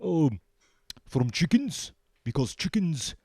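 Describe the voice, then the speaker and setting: A man giving a comic interview as a band guest, with a deadpan, halting delivery. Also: fairly high pitch, no room sound. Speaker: band member, show guest.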